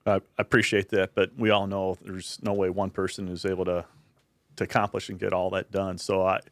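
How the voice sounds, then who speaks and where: A man's voice: sits low, flat delivery, a little fast, no room sound. A man speaking as a guest on a podcast, explaining things earnestly with frequent restarts. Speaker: university director of military affairs, guest. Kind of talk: casual podcast conversation.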